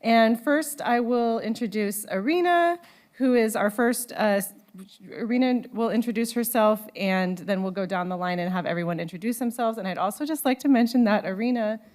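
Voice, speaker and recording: A woman's voice: on the high side, moderate pace, a little mellow. A woman moderating a student panel at a conference into a handheld microphone, organized, with some restarts and fillers. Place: conference room.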